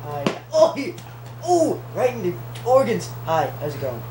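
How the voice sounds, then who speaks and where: A man's voice: sits high, sing-song, measured pace, warm tone, reverberant, a little hiss or hum. A teenage boy co-hosting a casual vlog at home, teasing and wisecracking. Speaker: vlog co-host. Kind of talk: casual chat.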